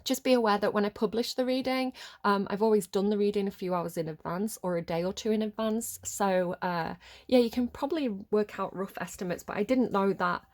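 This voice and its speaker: A woman's voice: medium pitch, brisk. Chatty woman reading tarot, sniffly with a cold, rambling and filler-heavy. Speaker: tarot reader. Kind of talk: casual tarot reading chat.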